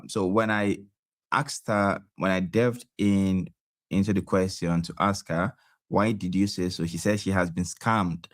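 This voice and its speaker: Deep voiced guy, slow deliberate talk, lots of uhs, dry. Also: no room sound. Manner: deliberate, many uh fillers. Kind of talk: discussion summary.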